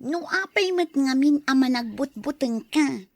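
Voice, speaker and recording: A woman's voice: sits high, expressive, unhurried, no room sound, clean studio sound. A woman playing a studious, slightly impatient older sister in an Ilocano radio drama. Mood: mildly impatient.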